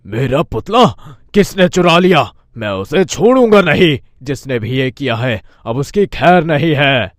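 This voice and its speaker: A man's voice: a little high, big pitch swings, a little slow, hardly any pauses, dry recording, clean studio sound. A man voicing a vengeful, menacing sorcerer in a Hindi moral-story cartoon, with declamatory delivery. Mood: furious. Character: vengeful male sorcerer hunting his stolen doll.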